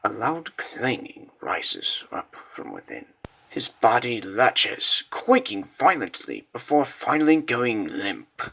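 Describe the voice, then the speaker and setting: A man narrating a comic dub as a scripted dramatic story, grave and deliberate with theatrical pauses. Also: mid-range voice, huge pitch swings, vocal fry, bright, forceful tone, slight background noise, rough recording.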